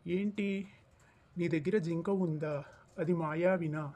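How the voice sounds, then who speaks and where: A man's voice: fairly high pitch, fairly even intonation, slow pace, a little mellow. A man playing a commanding king and anxious father in a cartoon story, with an imperious, demanding delivery. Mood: insistent. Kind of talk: acted cartoon story dialogue.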